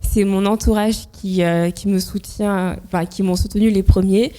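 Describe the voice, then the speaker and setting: A woman's voice: medium pitch, steady tone, normal speed, dark tone. A woman from Guinea whose first language is Fula, talking as an interview guest in a casual, hesitant way with many fillers. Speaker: interview guest, NGO founder.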